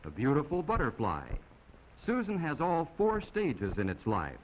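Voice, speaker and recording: An American man delivers scripted narration in the style of a 1950s classroom film. His mid-pitched voice rises and falls very expressively as he explains things to young viewers in a folksy, chatty way. The old optical soundtrack sounds muffled, with faint noise underneath. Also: huge pitch swings, moderate pace. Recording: dull, noisy 1950 film soundtrack.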